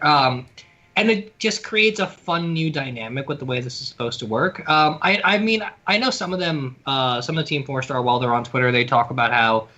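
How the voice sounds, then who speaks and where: A man's voice: a little high, sharp tone. A man co-hosting a review podcast in casual chat, heard through slightly dull, lo-fi audio. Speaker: podcast co-host reviewing a fan dub.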